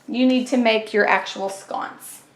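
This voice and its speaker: A woman's voice: fairly high pitch, steady tone, a little slow. A woman hosting a craft tutorial, chatty and step by step, fighting a cold and losing her voice. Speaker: craft channel host. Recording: echoey room, faint kitchen clatter.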